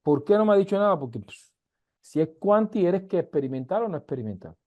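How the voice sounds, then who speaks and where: A man's voice: high-pitched, very animated, fast, highs cut off. A man from Colombia's Caribbean coast, with its accent, teaching an online university class in an emphatic way full of rhetorical questions, on a video call. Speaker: university lecturer.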